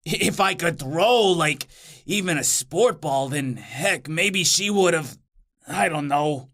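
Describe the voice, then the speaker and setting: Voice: medium pitch, animated, takes it slowly, a bit bright, voice pushed down, exaggerated voice. A performer voicing an insecure, slangy little teen demon boy in a story, rambling and hesitant. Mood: sheepish. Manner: hesitant, rambling, self-doubting.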